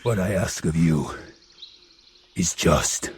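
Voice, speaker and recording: An actor playing an anguished, vengeful, eloquent monster in an audio drama, speaking in solemn, grandiose oratory. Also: male voice, low-pitched, expressive, slow, a little hiss or hum. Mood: bitter, hopeful. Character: anguished, vengeful, eloquent male monster pleading with his maker.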